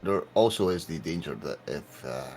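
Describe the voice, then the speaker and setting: A man doing a very deep-voiced musing adventurer. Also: expressive, normal speed, darkish tone, slight background noise. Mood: dry. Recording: faint music behind.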